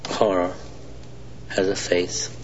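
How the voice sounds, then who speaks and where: A brooding, menacing renegade colonel. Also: male voice, level tone. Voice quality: low, slow, half-whispered delivery. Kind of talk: film dialogue. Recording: muffled film audio with background hiss.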